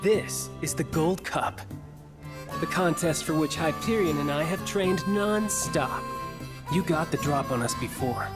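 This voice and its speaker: A man's voice: on the high side, unhurried, over music, natural voice. A man playing a proud, competitive young champion jockey in a game cutscene, formal and boastful. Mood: defiant.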